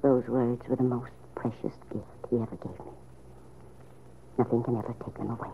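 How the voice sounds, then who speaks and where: A woman playing a kindly, wistful older aunt with a low husky voice, measured and tender, in old-time radio soap style. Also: fairly even intonation, slight background noise. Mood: tender, nostalgic. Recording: narrow, tinny old radio recording.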